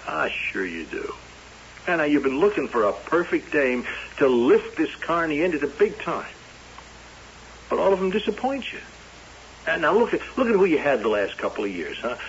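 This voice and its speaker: A stammering carny friend. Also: male voice, fairly high pitch, very animated. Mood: uneasy. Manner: halting, stammering. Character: nervous male carnival worker, frank friend. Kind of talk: 1970s radio drama acting. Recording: hissy, dull old radio broadcast.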